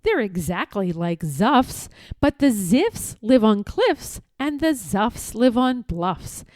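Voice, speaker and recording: A woman reads a rhyming children's story in a mellow, softly toned voice. Her delivery is playful and emphatic, swinging widely up and down in pitch. The recording is close and dry. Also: big pitch swings, slow pace, dry recording. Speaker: storyteller reading aloud. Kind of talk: expressive read-aloud of a rhyming children's storybook.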